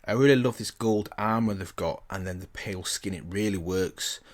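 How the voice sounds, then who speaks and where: A man's voice: lowish voice, normal speed. A man hosting a reaction video, commenting in a casual, informal, exclamatory way. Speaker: YouTube reaction host.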